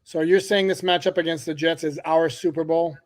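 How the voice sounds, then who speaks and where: A man whose first language is French, co-hosting a casual sports podcast with a joking, animated manner. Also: fairly high pitch, brisk. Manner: joking, animated, laughs at his own jokes. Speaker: podcast co-host.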